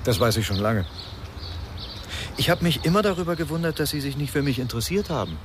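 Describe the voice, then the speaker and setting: An actor playing a self-satisfied, provocative younger rival, teasing and unruffled, in a German radio crime drama. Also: male voice, mid-range voice, animated, fast, lightly acted. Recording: noisy outdoor ambience with birds.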